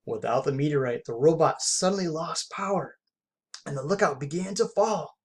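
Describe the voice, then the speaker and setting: An expressive narrator reads a children's adventure picture book aloud. The voice is of medium pitch, with emphatic delivery aimed at young listeners. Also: moderate pace, breathy edge. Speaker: story reader. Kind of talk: children's storybook read-aloud.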